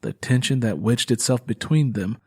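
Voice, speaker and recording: A man's voice: mid-range voice, moderate pace, a little mellow, breathy edge. A man reading scripted, dramatic fiction as an audiobook narrator.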